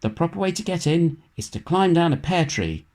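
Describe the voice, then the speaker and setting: A man's voice: a little high, big pitch swings, moderate pace, darkish tone, pitched above the performer's own voice. A man voicing a bold, confident little boy rabbit from a storybook, chirpy and matter-of-fact. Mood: confident, instructive.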